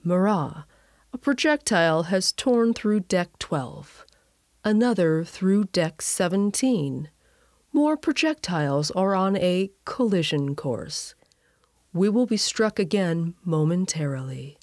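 A woman voicing a calm, matter-of-fact female alien squid, a first officer in a sci-fi audio story. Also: fairly low pitch, slow pace, dry recording, clean studio sound.

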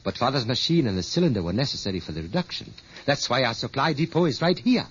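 An actor playing a stern, wary revolutionary in an old radio drama, speaking formally and guardedly. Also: male voice, medium pitch, sing-song, fast talker, fairly bright tone, husky. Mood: intent, confident. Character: stern, wary male revolutionary, a scientist's son. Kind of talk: 1950s radio drama acting. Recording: muffled old radio recording.

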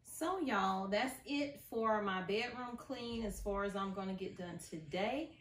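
A woman's voice: mid-range voice, measured pace. A middle-aged woman chatting casually and informally to the camera as a home vlogger, recorded in a bedroom.

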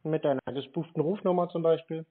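A man's voice: on the high side, fast talker, no echo. A man chatting casually on a call, needling the other person in a laconic, unruffled way. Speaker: scambaiter. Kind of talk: casual argumentative phone chat. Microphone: phone call recording. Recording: narrowband phone sound with noise, clicks.

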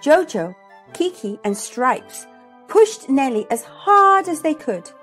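A woman reads a picture book aloud for children as host. Her voice is high and animated, and she takes the words slowly and expressively. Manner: expressive, deliberate. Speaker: host and storybook reader. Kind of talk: scripted children's story read-aloud. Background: music.

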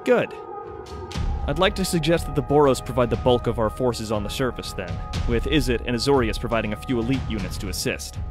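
A man acts as a weary but brisk wizard leader in a fantasy audio drama. He lays out plans in a measured, businesslike way at a middling pitch, with music underneath. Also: lively intonation, natural voice. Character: brisk, weary male wizard leading a war council. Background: background music.

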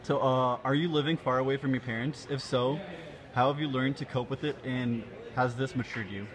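A man reads his interview questions quickly and politely. Crowd chatter hums behind him. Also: medium pitch, fairly even intonation.

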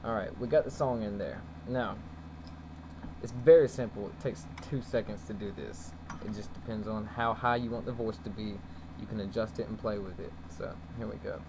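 A man giving a casual, folksy software tutorial as its host. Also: fairly low pitch, moderate pace. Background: background noise.

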